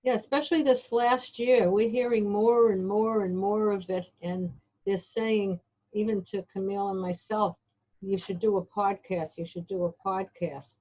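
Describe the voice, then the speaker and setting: A woman's voice: medium pitch, steady tone, moderate pace, dark tone. A woman hosting an interview over a video call, asking curious, rambling questions. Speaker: TV show host. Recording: dull lo-fi video call audio.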